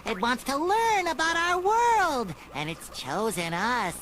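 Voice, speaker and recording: A man's voice: very high-pitched, huge pitch swings, takes it slowly, a bit bright, a little hiss or hum, heavily acted. A man voicing an earnest, childlike male sea creature in a cartoon. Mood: awed. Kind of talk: cartoon voice acting.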